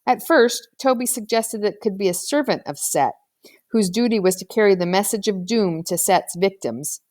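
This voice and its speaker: A woman's voice: medium pitch, moderate pace, a little mellow. A woman reading a children's novel aloud as scripted audiobook narration. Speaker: audiobook reader.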